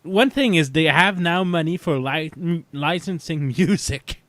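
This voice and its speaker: Casual podcast man, slightly high register, stuttery restarts, very dry sound. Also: unhurried, fairly bright tone, no echo. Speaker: podcast co-host. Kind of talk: casual chat.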